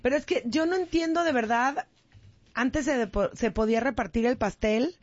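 A Mexican woman in casual chat, animated and slangy, often talking over the others. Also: on the high side, moderate pace, no echo, muffled recording.

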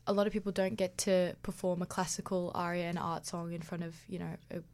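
A woman's voice: lowish voice, flat delivery, a little fast, darkish tone. A young woman student singer answering questions casually, often saying "you know". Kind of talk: interview answers.

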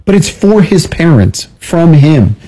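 A man's voice: on the high side, big pitch swings, a little slow, mellow, rough recording. A man co-hosting a radio talk show, joining in with casual, teasing banter. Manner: teasing, joking.

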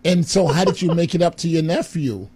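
A man's voice: fairly high pitch, lively intonation, a little fast, darkish tone, husky. A man hosting a call-in radio show, friendly and exclamatory, with rambling asides. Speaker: radio talk show host.

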